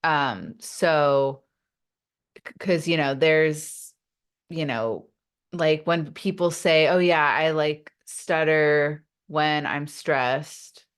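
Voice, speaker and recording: A woman who stutters speaks as a podcast guest, her voice sitting deep and her pace slow. She talks reflectively, filling pauses, and catches on opening sounds before pushing through. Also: clear, resonant tone. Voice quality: stutters, with repeated sounds and blocks. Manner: open and reflective, with many fillers. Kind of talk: casual interview talk.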